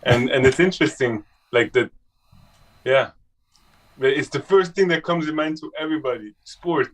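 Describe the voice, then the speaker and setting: Casting: young man, Swiss-raised guest, casual, halting talk with restarts. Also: mid-range voice, fairly bright tone, recorded from a distance, poor audio. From Switzerland. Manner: halting, with many restarts and fillers. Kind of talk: casual conversation.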